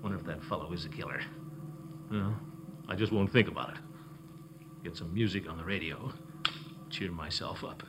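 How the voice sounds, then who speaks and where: A man's voice: low-pitched, moderate pace, long pauses, lightly acted. An American man in 1940s radio comedy style, playing a blustering, cowardly middle-aged uncle who bumbles as an amateur detective. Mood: nervous muttering. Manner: bumbling, nervous muttering and chuckling. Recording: noisy old radio recording.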